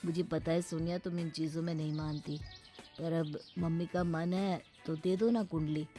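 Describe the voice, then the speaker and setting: A woman plays a polite young grown son, mild and placating. The voice is lowered, in cartoon style with soft music behind it. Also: fairly even intonation, moderate pace, close to an everyday voice. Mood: coaxing. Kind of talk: cartoon voice acting.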